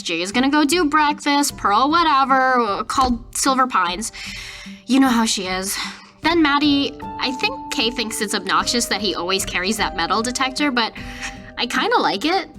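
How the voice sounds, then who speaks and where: A woman plays a young camp counselor with a high voice. She is chatty and gossipy, telling her story in breezy slang like a letter home. Light music plays under this horror podcast monologue. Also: pushed and bright, full character voice. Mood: gossipy, spooked.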